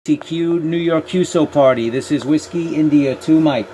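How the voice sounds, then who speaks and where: A man's voice: a little high, normal speed. A man working as an amateur radio contest operator, making clipped, procedural calls in phonetic alphabet. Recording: loud radio static and noise.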